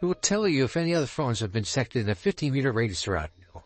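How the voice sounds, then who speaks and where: A man's voice: medium pitch, big pitch swings, rapid-fire, soft background music, lightly acted. A man voicing a solemn god of time and space, formally announcing the rules as game master in a visual novel. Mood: solemn, commanding. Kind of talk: reading visual novel dialogue aloud.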